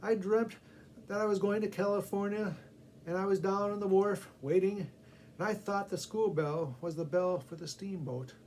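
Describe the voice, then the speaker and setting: A man's voice: high voice, moderate pace, a little mellow, slight background noise, voice pushed up, lightly acted. A man voicing a tardy schoolboy in a storybook tale, candid, polite and earnest as he makes excuses. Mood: earnest excusing.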